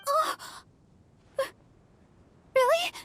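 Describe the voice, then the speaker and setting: A woman's voice: drawn-out words, a little hiss or hum. A woman voicing an anxious, sweet teenage girl in a video game, hesitant and earnest. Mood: anxious, panicked. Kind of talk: English video game voice acting. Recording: loud music behind the voice.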